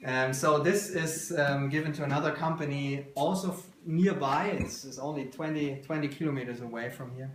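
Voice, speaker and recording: A man's voice: fairly high pitch, fairly even intonation, normal speed, few pauses, a crowd in the background. A man in his thirties with a German accent, explaining his work informally on a workshop tour, often searching for words. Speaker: company founder giving a workshop tour. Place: small workshop room, indoors. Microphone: handheld camera a couple of metres away. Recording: roomy handheld video, background noise, clicks.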